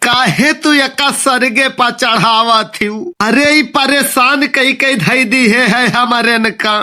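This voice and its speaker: A man's voice: very high voice, fairly even intonation, slow, pushed and bright, full character voice. A man voicing a grumbling, exasperated village father in a comic cartoon, with a sarcastic, complaining manner and an Awadhi accent.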